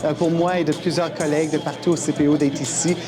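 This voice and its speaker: A man's voice: on the high side, little pitch movement, fast, over music, a crowd in the background. A man with a Franco-Ontarian French accent giving hesitant interview answers with many fillers, outdoors.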